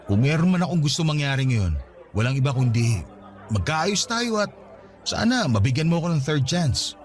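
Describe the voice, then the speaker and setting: An actor playing a remorseful, persistent young ex-boyfriend, pleading earnestly in a radio romance drama. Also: male voice, fairly high pitch, big pitch swings, moderate pace. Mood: apologetic, pleading. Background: background music.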